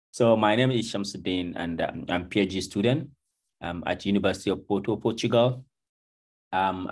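A man's voice: deep voice, a little mellow. A Nigerian man in his thirties leading a book club on a video call, talking casually with lots of ums and you knows. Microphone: video call webcam.

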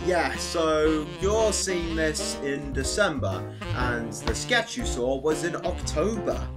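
A man's voice: on the high side, lively intonation, slow, loud music behind the voice. A young man hosting a casual, partly scripted video discussion. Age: young adult. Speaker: host.

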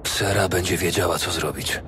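An actor playing a resolute, devout human paladin with a solemn, heroic manner in a fantasy audio drama. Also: male voice, sits low, fairly even intonation, normal speed, background noise, lightly acted. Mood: solemn vow. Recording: long reverb, music behind.

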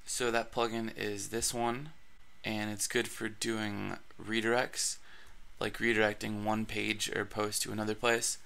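A man hosting a screen-recorded blogging tutorial, his voice raspy from allergies, talking casually with plenty of fillers and restarts. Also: on the low side, level tone, a little slow, a bit bright.

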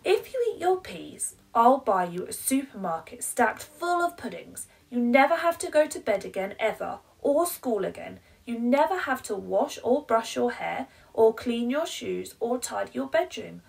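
A woman's voice: on the high side, sing-song, normal speed, vocal fry, hardly any pauses, far from the mic, voice pushed up, poor audio. A woman voicing an exasperated, pleading mum from a children's picture book, piling up promises emphatically to bargain with her child. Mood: desperate. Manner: breathless piled-up promises, emphatic.

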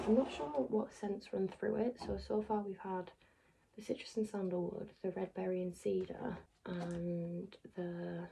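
A young woman talks into her phone in a loose, rambling vlog chat, her voice carrying a gravelly fry. She often drops to a near-whisper, and a clear hiss of noise sits under the phone recording. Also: medium pitch. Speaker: vlogger. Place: home living room, indoors. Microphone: phone camera held close.

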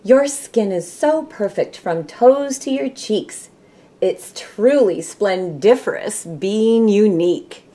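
Casting: middle-aged woman, American Midwestern accent, storybook reader with unhurried pace and animated intonation. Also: mid-range voice. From Michigan, United States. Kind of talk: children's read-aloud storytelling. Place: small room at home, indoors.

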